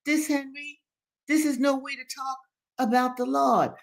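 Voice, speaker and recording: Lady reading as a worried old church-going man, high pitched, scolds and pleads, stumbles and repeats himself, folksy. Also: steady tone, gravelly. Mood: anxious scolding. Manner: halting, repeating, folksy dialect. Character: elderly pious man, fretful, scolding and pleading. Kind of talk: dramatic novel reading.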